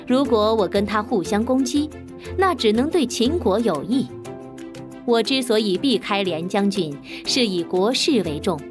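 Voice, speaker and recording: A woman telling a traditional tale to children, clear and explanatory, her voice moving up and down with lively expression. Music plays clearly behind her. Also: mid-range voice. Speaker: narrator. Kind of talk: educational storytelling for children.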